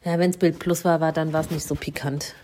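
A woman's voice: low voice, level tone, moderate pace, dark tone, slight background noise. A young woman co-hosting a casual chat, playful and chatty, laughing often. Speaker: podcast co-host.

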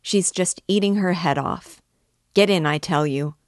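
A woman voicing a brutal, drunken young peasant man who shouts boastful, goading commands in an audiobook. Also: sits low, takes it slowly, no echo, clean studio sound. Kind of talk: audiobook character voice.

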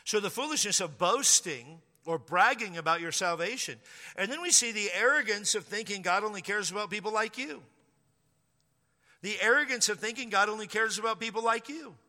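High, sharp-voiced preacher in a reverberant hall. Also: male voice, lively intonation, normal speed. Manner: preaching with emphatic repetition. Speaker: pastor. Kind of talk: sermon. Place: church sanctuary.

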